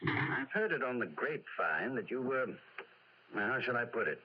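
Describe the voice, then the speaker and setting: An actor playing a courteous older company boss in a radio drama, speaking in a genteel, tactful, measured way. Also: male voice, mid-range voice, big pitch swings, husky. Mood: delicately probing. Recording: muffled vintage radio drama recording.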